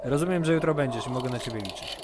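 A man's voice: medium pitch, very fast, natural voice. Guy playing a dry no-nonsense mutant worker, teases his buddy, mid voice, noisy recording. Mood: teasing. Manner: dry, teasing. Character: pragmatic adult male settlement worker, dry teasing. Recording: loud background noise.

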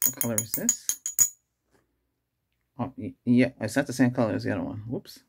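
Creaky middle-aged man murmuring ASMR, noisy recording. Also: medium pitch, normal speed, a little mellow. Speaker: ASMR performer playing an ER doctor examining a patient. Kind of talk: soft-spoken ASMR roleplay. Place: small indoor setup with cloth backdrop.

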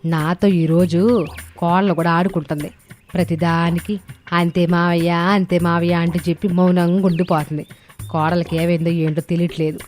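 A woman voicing a bossy, scolding older village mother-in-law in an animated moral story, with colloquial, questioning speech. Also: fairly low pitch, normal speed, soft background music, natural voice. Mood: bewildered.

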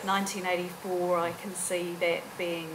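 A woman's voice: on the low side, little pitch movement, normal speed. A woman in her forties speaking directly and with firm opinions on a public panel. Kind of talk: panel discussion. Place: university lecture room. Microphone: table microphone. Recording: room with background hum.

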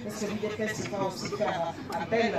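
A woman's voice: mid-range voice, fairly even intonation, brisk. A woman moderating a debate, pressing the candidates for concrete answers. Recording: echoey, distant phone recording with crowd.

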